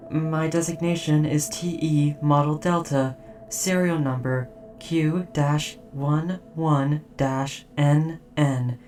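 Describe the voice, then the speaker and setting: Voice actor doing a caring robot soldier, audio drama, formal n precise, medium pitch, bit far off w music behind. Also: lightly acted. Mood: careful, caring. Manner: formal, precise, measured, softly hesitant. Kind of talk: scripted roleplay audio drama.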